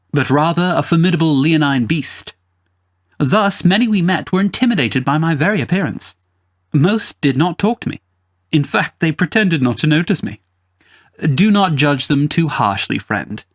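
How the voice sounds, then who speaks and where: A man voicing a boastful leonine beast who narrates a fantasy story in formal, self-important, theatrical phrasing. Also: a little high. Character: boastful leonine beast bard, grandiose self-styled honest chronicler.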